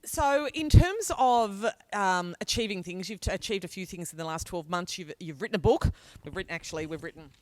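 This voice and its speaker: A woman's voice: fairly low pitch, animated, fairly quick, darkish tone. A woman hosting a podcast, asking a guest long winding questions. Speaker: podcast host. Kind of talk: interview questions.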